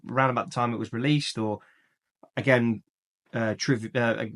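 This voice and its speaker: A man's voice: medium pitch, fairly even intonation, normal speed, a bit bright. A man co-hosting a casual film chat, opinionated and rambling, with lots of fillers and restarts. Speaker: podcast co-host.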